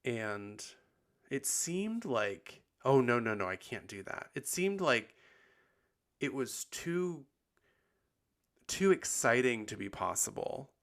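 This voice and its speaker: A man hosting a podcast in casual confessional chat, sounding husky and tired after bronchitis, rambling with lots of likes and ums. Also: medium pitch, big pitch swings, slow, pauses often, fairly bright tone. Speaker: podcast host.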